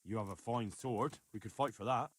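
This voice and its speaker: A man's voice: fairly low pitch, normal speed, breathy edge, no room sound. A man playing a confident, persuasive young medieval man in a video game, talking matter-of-factly. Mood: dry, coaxing. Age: young adult. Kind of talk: video game dialogue acting.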